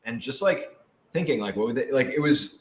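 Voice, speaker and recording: A man's voice: medium pitch, lively intonation, brisk. A man chatting casually and playfully as a cast member and writer on a panel. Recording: echoey room with background noise.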